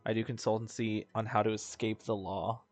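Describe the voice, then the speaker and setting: A man's voice: lowish voice, level tone, brisk. A man streaming a video game, chatting casually and reading dialogue aloud with mumbly asides and stumbles. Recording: background game music.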